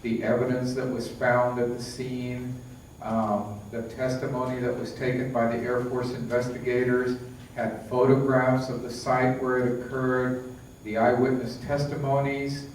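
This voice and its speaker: A man's voice: mid-range voice, level tone, measured pace, darkish tone. Man lecturing in an echoey hall. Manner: conversational, many fillers and restarts. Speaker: lecturer, book author. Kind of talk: conference lecture. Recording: echoey hall, distant, faint hiss.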